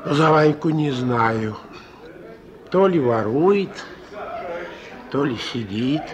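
An actor playing a worn-out old ex-convict in a radio play, sly and meek, talking in folksy thieves' talk with wheedling pet words. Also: male voice, mid-range voice, animated, extremely slow, a crowd in the background. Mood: plaintive, resigned. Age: older. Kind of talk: mid-century radio play acting. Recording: old radio recording with music behind.

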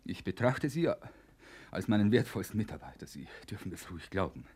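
Middle-aged psychiatry professor, very low voice, evasive, halting, repetitive, radio play. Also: male voice, sing-song, darkish tone, breathy edge, full character voice. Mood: uneasy, defensive. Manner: halting, repeats himself, swings between muttering and outbursts. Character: evasive, hesitant senior male psychiatry professor in Nazi era. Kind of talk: German radio drama acting.

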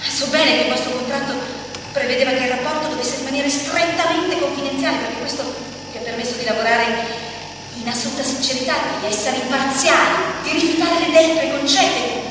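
Casting: actress as grandiose formal hostess, high voice, declamatory theatre delivery, heard from far off in a cavernous hall. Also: female voice, lightly acted. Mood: formal, earnest. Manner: declamatory, oratorical, dramatic swells and hushes. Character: formal, grandiose woman hostess addressing secret committee of experts. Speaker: stage actress. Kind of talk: theatrical radio drama monologue. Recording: echoey hall from far off, noisy.

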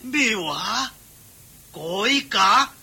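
A man's voice: animated, extremely slow, gravelly, sharp tone, breathy edge, full character voice. An actor playing a meek, muddled old father in a radio drama, with a Hong Kong Cantonese accent and a hesitant, stammering delivery. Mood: shocked, scolding. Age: older. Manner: hesitant, stammering, repeats himself. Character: muddled, meek old father begging from his married daughter. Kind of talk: Chinese radio drama acting. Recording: noisy radio drama recording with rumble.

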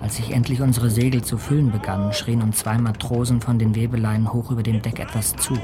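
A man acting as a grave captain of a polar expedition in a radio play. His pitch sits mid-range and his delivery is solemn, measured and literary. Clearly audible sea noise surrounds the voice. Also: level tone, natural voice. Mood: grave narration. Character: grave, questioning male captain of an Arctic expedition ship. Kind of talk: German radio drama acting and narration. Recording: noisy sea ambience behind the voice.

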